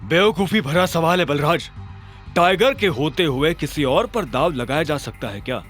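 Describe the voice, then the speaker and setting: A man voicing an excitable, loyal friend of a fighter who teases loudly in an audio drama. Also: fairly high pitch, normal speed, soft background music. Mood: confident. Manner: loud, gleeful taunting.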